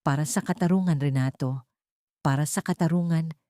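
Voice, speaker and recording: Female reader as bitter Filipina avenger, story drama; quietly menacing, lowish, dry close sound. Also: moderate pace, dry recording, lightly acted.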